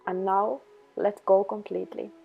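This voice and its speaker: A woman leading a guided meditation, giving instructions in slow, measured phrases. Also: mid-range voice, little pitch movement, vocal fry, husky, highs cut off. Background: background music.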